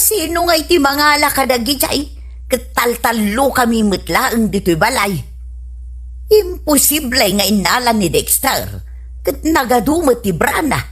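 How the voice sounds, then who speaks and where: A woman's voice: a little high, husky, full character voice. A woman with an Ilocano Filipino accent playing an exclamatory, scolding housewife in a radio comedy drama. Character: scolding, vain Filipino housewife, shocked and suspicious.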